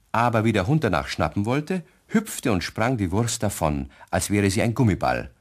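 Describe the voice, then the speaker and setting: A man narrating a scripted children's story in a German radio play, speaking vividly to young listeners. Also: fairly low pitch. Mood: lively telling. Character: male storyteller addressing children.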